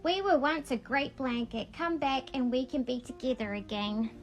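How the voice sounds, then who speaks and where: A woman's voice: sits high, normal speed, soft background music. A woman voicing a hopeful piece of blanket that encourages others in an earnest storybook manner. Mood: hopeful, encouraging.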